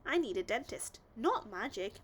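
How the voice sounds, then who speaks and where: A woman's voice: on the high side, sing-song, normal speed, fairly bright tone, slightly rough, heavily acted. A woman voicing a nervous, grumpy male wolf from a storybook, howling and complaining. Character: big bad male wolf, whiny, nervous, grumpy with toothache.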